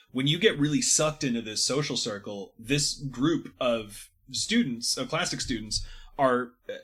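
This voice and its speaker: Bright, husky man, analytical and wordy. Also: medium pitch. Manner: analytical, wordy, halting with many repeats. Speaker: podcast panelist. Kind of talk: casual literary discussion.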